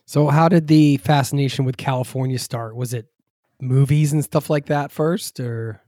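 Male host, mid pitch, mellow voice, friendly and relaxed. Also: normal speed, no room sound, studio quality. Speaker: podcast host. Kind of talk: casual interview conversation.

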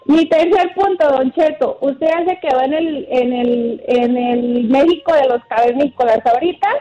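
A woman with a Colombian accent calls in and argues in an emphatic, orderly way, laying out her points one by one. Her high voice comes through a slightly muffled phone line. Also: moderate pace, dark tone, faint music behind. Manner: emphatic, methodical, makes numbered points. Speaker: caller.

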